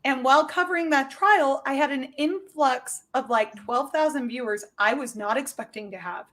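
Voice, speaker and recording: A woman's voice: sits high, moderate pace, fairly bright tone. A woman livestreamer in casual, bantering conversation. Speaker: livestreamer on a group stream.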